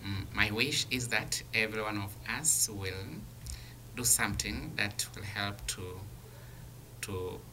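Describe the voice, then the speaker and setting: A man's voice: fairly low pitch, noisy background. A man from Tanzania, a journalist, giving a stumbling wish that repeats words.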